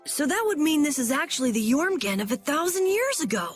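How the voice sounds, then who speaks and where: Young boy adventurer, earnest and curious, very high and animated, game dub. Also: fast, soft background music. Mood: earnest, surprised. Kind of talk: anime-style game voice acting.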